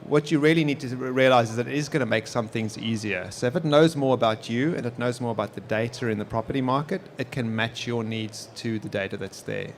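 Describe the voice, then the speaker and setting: A man's voice: medium pitch, a little fast, few pauses, a little hiss or hum. A man in his forties with a South African accent, a guest explaining things in easygoing conversation at a live podcast on an expo stage. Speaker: guest, technology executive. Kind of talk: conversational interview answers.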